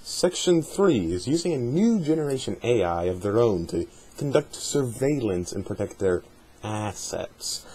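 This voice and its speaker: A man giving an amateur dramatic reading of a journal in a measured, deliberate way, with a husky voice. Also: lowish voice, mellow, low-quality recording. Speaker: amateur reader hosting a dramatic reading series.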